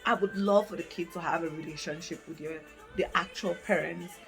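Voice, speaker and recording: A woman's voice: lowish voice, steady tone, fairly quick, gravelly, faint music behind, rough recording. Candid woman in her twenties thinking aloud. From Africa. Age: 20s. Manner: candid, thinking aloud with many fillers and restarts. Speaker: vlogger. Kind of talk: casual reflective talk to camera. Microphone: camera mic, reading from notes.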